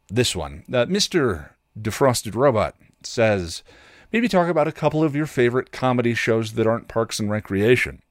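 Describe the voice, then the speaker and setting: Animated man, casual solo podcaster. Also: on the low side, no echo, clean studio sound. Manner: animated, self-deprecating, rambling; acts out his own inner dialogue. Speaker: podcast host.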